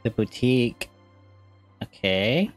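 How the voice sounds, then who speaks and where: A man's voice: medium pitch, animated, slow, soft background music. A man making a gaming video, reacting casually and reading game text aloud.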